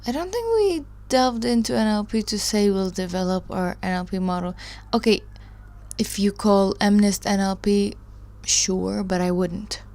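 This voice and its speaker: A woman's voice: medium pitch, moderate pace, darkish tone. A woman hosting a live study stream, chatting casually with viewers and reading their comments aloud in a rambling, chatty way. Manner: rambling, chatty, laughs often, trails off.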